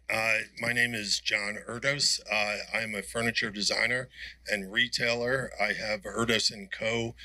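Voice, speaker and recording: A man introduces himself on a conference panel, telling his background in a steady, even way. His voice is low and flat in pitch yet very bright in tone. The sound is dry and close. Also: no echo, poor audio. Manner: steady storytelling with fillers. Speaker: panelist, furniture designer and retailer. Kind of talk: self-introduction on a trade panel.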